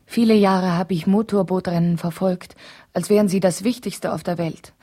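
An actress playing a weary, neglected wife in a radio play, plaintive and formal, with a soft husky voice. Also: female voice, lowish voice, fairly even intonation, normal speed, natural voice. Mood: plaintive, grave. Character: neglected, suffering wife, weary and self-dramatizing. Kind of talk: radio play, letter monologue.

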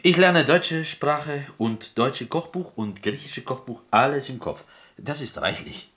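A man acting a naive, eager Greek cook with a Greek accent and halting, broken German, asking earnest questions in a radio play. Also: mid-range voice, expressive, a bit bright.

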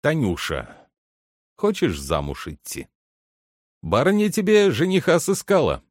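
A commanding head butler performed by a man reading a story questions and orders servants about. He is brusque and condescending, with very lively intonation. The recording is dry and close. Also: a little high, unhurried, no room sound, pitched above the performer's own voice, heavily acted. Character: impatient, bossy male head butler, scheming and condescending. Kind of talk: dramatic literary audiobook character reading.

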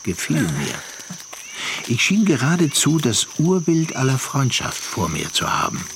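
A man narrating a literary radio drama with measured, deliberate phrasing and pauses. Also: mid-range voice, wildly swooping pitch, background noise.

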